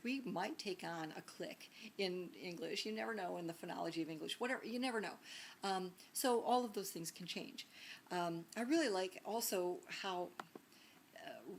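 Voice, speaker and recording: Chatty low-voiced woman teacher lecturing. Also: slight background noise. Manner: conversational, asks rhetorical questions; many ums and restarts. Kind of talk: recorded lecture.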